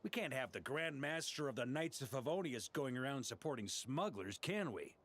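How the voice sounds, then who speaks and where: An actor playing a jovial, easygoing grand master of knights in a video game, with a folksy, informal manner. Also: male voice, mid-range voice, animated, moderate pace, fairly bright tone. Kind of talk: English video game voice acting.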